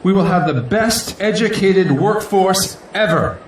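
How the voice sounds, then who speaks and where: A man's voice: high-pitched, measured pace. An actor playing a proud, idealistic male politician in an audio drama, giving a grandiose, earnest victory speech in declamatory rally style. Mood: rousing, fervent. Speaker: newly elected city council president. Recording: echoey hall, distant mic, crowd noise. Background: crowd and faint noise.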